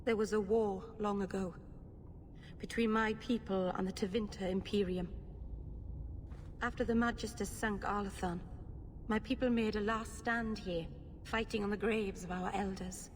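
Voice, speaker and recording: An actress playing an earnest, emotionally open young elf mage in a dramatic video game. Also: female voice, mid-range voice, steady tone, normal speed, lightly acted. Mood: uneasy, solemn. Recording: long reverb, faint background noise.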